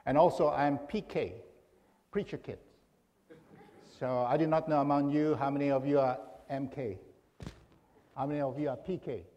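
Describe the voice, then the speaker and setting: A middle-aged man from Indonesia preaching to a congregation as a guest pastor, in a relaxed way with many pauses and fillers. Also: mid-range voice, in a large hall, lo-fi sound, a little hiss or hum. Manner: informal, halting with many fillers. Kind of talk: sermon. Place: church sanctuary.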